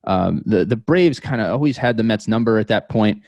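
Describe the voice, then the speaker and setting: Podcast guest: American male, thirties, lowish voice, close dry sound, thoughtful halting storyteller. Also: animated, gravelly, darkish tone, dry recording. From New York metropolitan area, United States. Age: 30s. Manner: halting, thoughtful, repeats words as he goes. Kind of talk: reflective interview storytelling.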